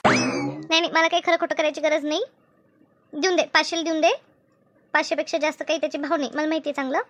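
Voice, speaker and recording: A woman's voice: high voice, animated, quick pace, a bit bright, a little hiss or hum. A woman voicing a hard-bargaining village mother in a comedy cartoon, argumentative, firm and chatty. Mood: firm haggling. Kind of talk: Marathi comedy cartoon dub.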